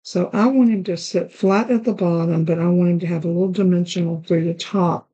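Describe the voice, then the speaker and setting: A woman with a raspy, hoarse voice hosting a card-making tutorial, chatting along as she works through each step. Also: fairly low pitch, fairly even intonation, a little fast, warm tone, muffled recording. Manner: chatty, talks while working with her hands. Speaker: card-making channel host.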